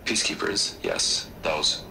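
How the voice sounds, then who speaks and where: An actor playing a middle-aged tycoon in a video game, hesitant, defensive and pleading under pressure. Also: male voice, unhurried, sharp tone, slight background noise, low-quality recording. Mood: uneasy, evasive. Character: evasive, panicked male corporate tycoon under pressure. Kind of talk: cinematic video game acting.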